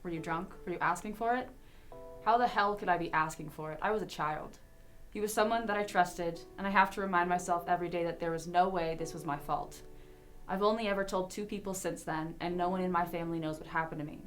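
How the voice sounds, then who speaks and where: Lower-pitched young woman reading aloud. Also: fast talker, soft background music. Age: young adult. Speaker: student reader. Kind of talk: reading a personal account aloud.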